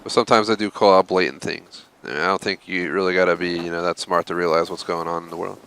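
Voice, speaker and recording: A man's voice: deep voice, fairly even intonation, fast, fairly bright tone, a little hiss or hum, poor audio. A man hosting a live game stream, chatting casually and rambling with lots of fillers. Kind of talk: casual live-stream chat while gaming.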